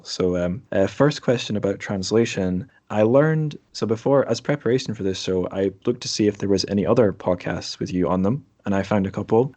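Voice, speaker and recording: Guy hosting a podcast, low voice, chatting through his interview questions. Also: darkish tone. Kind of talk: conversational interview.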